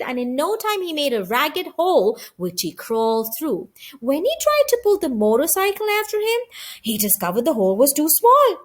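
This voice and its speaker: A woman reading a children's story aloud as an audiobook narrator, with expressive delivery. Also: high-pitched. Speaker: audiobook reader.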